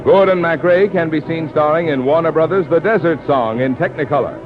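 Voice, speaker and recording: A man's voice: fairly high pitch, fairly quick, loud music behind the voice. A man reading scripted announcements in the manner of a 1950s radio announcer. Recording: tinny, narrow 1950s radio broadcast.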